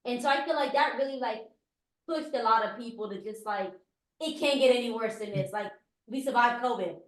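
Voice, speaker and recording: A woman's voice: on the high side, brisk, reverberant, low-quality recording. A woman from Guyana, a business consultant, answering interview questions earnestly with many "like" fillers.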